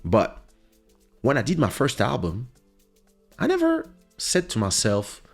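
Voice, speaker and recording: A man's voice: mid-range voice, huge pitch swings, a little slow, creaky, soft background music. A man from Paris, born in Congo, speaking English with a French accent in an emphatic, reflective, unscripted podcast monologue, repeating phrases for emphasis. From born in Kinshasa, Congo, raised in Paris from age seven. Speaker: podcast host.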